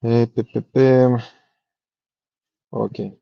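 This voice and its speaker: A man from Ukraine pitching his startup on a video call, halting and full of fillers. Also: little pitch movement, creaky, clear, resonant tone, no echo. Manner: halting, many uh fillers and restarts. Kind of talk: hesitant online pitch. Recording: dull lo-fi call audio.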